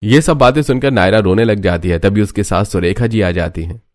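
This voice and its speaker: A man's voice: on the low side, a little fast, a little mellow. A man reading a scripted family story as narrator. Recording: music bed under the voice.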